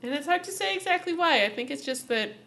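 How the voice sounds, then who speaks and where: High, very animated woman podcaster. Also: fast. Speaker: podcast co-host. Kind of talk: casual TV commentary chat.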